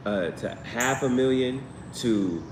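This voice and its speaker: A man hosting a casual live stream as a tarot reader, rambling with slangy asides and repeating himself as he goes. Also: slow pace. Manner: repetitive, tangential, slangy asides. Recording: roomy, distant mic, noisy background with vehicle rumble.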